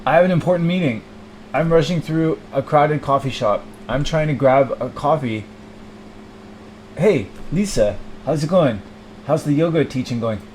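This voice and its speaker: A man acts out a harried young businessman in an improvised sketch. He is abrupt and panicky, forever apologetic and running late, with a clear hum in the room behind him. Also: on the high side, expressive, moderate pace, a little mellow, close to an everyday voice, poor audio. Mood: rushed. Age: late twenties. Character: stressed, frantic young ambitious businessman, always late.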